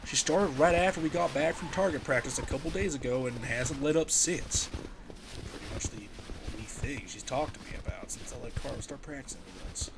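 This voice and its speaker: A man's voice: mid-range voice, fairly quick, gravelly, natural voice. A man playing a friendly, reassuring survivor and family man in a comic dub, speaking in an earnest, conversational way. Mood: amiable. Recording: noisy ambient background.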